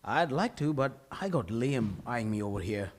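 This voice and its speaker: A man's voice: medium pitch, expressive, moderate pace, natural voice. A man playing an exasperated, fretful team leader in a conversational style at a live comedy table read. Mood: uneasy.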